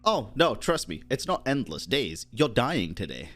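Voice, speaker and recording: A man's voice: medium pitch, sing-song, moderate pace, a bit bright, faint music behind. A man doing casual live-stream gaming commentary, chatting to viewers and tossing out jokey, sarcastic asides. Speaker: streamer playing a video game.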